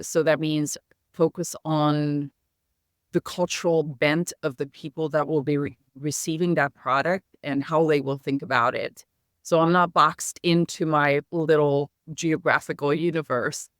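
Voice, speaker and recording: A middle-aged woman with an American accent, native in Hungarian, speaks in a smooth, clear voice with a dry, close sound. She answers as an interview guest, measured and articulate, with formal wording. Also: low voice, no echo. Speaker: interview guest, marketing executive. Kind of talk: conversational interview storytelling.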